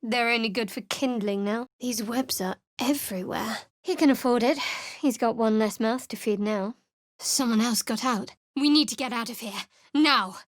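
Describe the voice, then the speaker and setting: A woman's voice: on the high side, moderate pace, no echo, natural voice. An actress voicing a guarded, sardonic young woman cleric in a video game, speaking in a dry, cool manner. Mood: wary. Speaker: game character. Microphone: studio mic.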